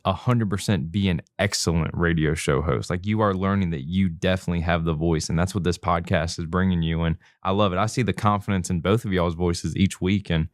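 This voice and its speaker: A man's voice: deep voice, steady tone, a little fast, hardly any pauses, no room sound, studio quality. A man hosting a podcast, asking the questions in an upbeat, encouraging way. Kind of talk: casual podcast chat.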